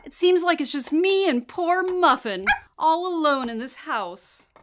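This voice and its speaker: An actress playing a nagging, lonely housewife in a radio play, with a plaintive, chiding manner. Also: female voice, high-pitched, animated, measured pace, background noise. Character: nagging, lonely housewife who dotes on her dog. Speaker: actress in an audio drama.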